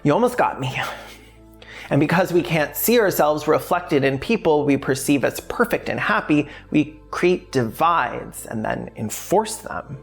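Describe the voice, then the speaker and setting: A woman's voice: sits low, expressive, unhurried, gravelly, soft background music. A woman hosting a video essay in a frank, sardonic way, mixing scripted points with casual, sweary asides.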